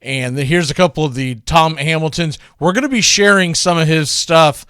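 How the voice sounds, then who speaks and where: A man with a clean, smooth, slightly higher-set voice talks baseball in a conversational way, as a radio host introducing classic calls. The sound is close and dry. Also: moderate pace, fairly bright tone, no room sound. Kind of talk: casual baseball commentary introducing archive calls.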